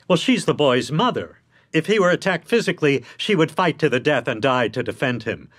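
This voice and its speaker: High-pitched, animated, shrewd male lawyer. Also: brisk. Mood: coolly firm. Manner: emphatic, articulate, lecturing. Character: shrewd, blunt, controlled male defence lawyer. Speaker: radio drama actor. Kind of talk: radio drama acting.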